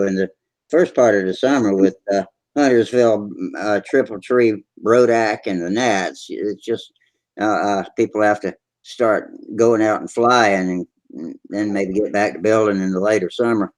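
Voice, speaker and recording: Low-voiced relaxed host, rambling. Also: male voice, normal speed, no room sound, poor audio. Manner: relaxed, rambling, with many uhs. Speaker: forum hangout host. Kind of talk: casual video call chat. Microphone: video call.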